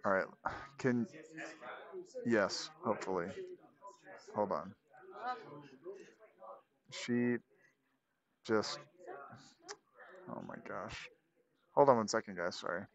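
A man's voice: lowish voice, takes it slowly, pauses often, muffled recording. Rambling, joking man on a livestream. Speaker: guest streamer. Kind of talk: casual livestream chat.

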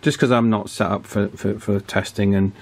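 A man's voice: on the low side, fairly even intonation, normal speed, a little mellow. A man giving an informal hands-on demonstration, hesitant and thinking aloud as he works. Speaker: radio repair hobbyist presenting. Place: indoor electronics workbench.

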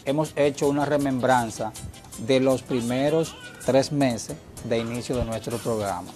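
A man co-hosting a studio talk show, explaining in an earnest manner. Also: medium pitch. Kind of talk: casual studio chat. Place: TV studio set. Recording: TV broadcast with background music.

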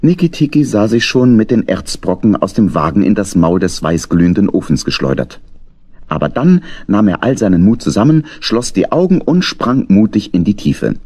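A man's voice: lowish voice, very animated, moderate pace, warm tone, no room sound. A man narrating a children's story in a scripted, dramatic storytelling style.

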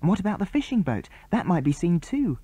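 A man's voice: high-pitched, sing-song, a little fast, warm tone, slightly rough, no room sound, voice pushed up, heavily acted. A man reading aloud and voicing a sensible, anxious young girl.

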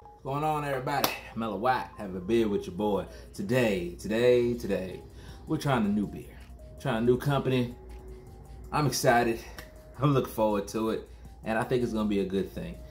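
A man's voice: on the low side, moderate pace, a little mellow, faint music behind. A man in his thirties reviewing a beer to camera at home, talking casually in slang and repeating himself. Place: small room at home, indoors.

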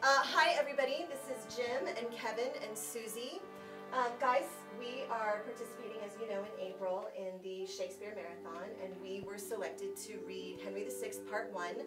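Upbeat american woman chatting about her theater, middle pitch, bit of music in the back. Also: moderate pace, poor audio. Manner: enthusiastic, gushing with superlatives. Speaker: theater artistic director, presenter. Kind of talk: casual promotional address and interviewing colleagues. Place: small indoor offices of a theater.

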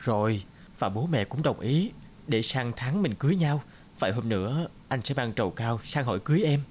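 A man's voice: mid-range voice, animated, fairly quick, a little mellow, a little hiss or hum, close to an everyday voice. A man playing an easygoing, affectionate young village suitor in a cartoon folktale. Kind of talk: animated folktale acting.

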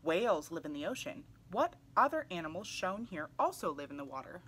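A young adult woman reading a children's picture book aloud in an animated way, putting questions to young listeners. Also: lowish voice, a little fast, a little hiss or hum. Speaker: read-aloud storyteller for children. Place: small indoor room, seated in armchair.

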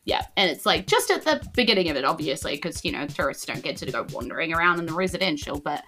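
A woman's voice: sits low, very animated, fast talker, pushed and bright, faint music behind. A woman improvising narration as game master of a tabletop game, informal and descriptive.

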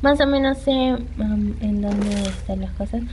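A woman's voice: mid-range voice, unhurried, darkish tone, slight background noise. A woman doing casual let's play commentary on a video game, hesitant and half talking to herself. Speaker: gaming channel host playing a video game. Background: game music.

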